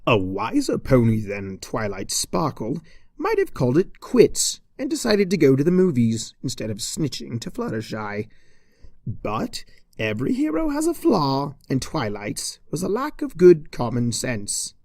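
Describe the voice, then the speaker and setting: Slow, very animated story narrator. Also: medium pitch, dry recording, studio quality. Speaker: narrator, fan fiction reader. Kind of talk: scripted comic story narration.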